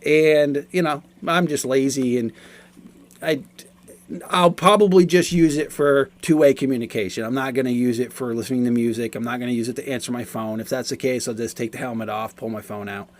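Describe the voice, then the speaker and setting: A man in his forties giving a casual, explanatory product review, talking in a halting, repetitive way. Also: medium pitch, steady tone.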